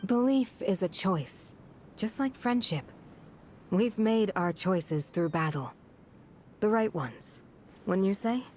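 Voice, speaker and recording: A woman's voice: mid-range voice, expressive, takes it slowly, pauses often, darkish tone, a little hiss or hum. An actress playing an earnest, steadfast woman who guards a desert village, speaking with composed sincerity in a video game. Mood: composed, sincere.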